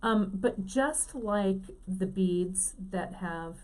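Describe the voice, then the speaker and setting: Mellow-voiced woman showing beads. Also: mid-range voice, extremely slow. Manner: enthusiastic, filler-heavy. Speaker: co-host. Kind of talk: casual chat, craft demonstration.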